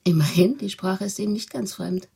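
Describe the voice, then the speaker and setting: An actress in a radio drama playing a haughty, brusque receptionist at an official society, with a rough, husky voice. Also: female voice, medium pitch, brisk, dark tone. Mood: confiding. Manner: snooty, brusque, condescending.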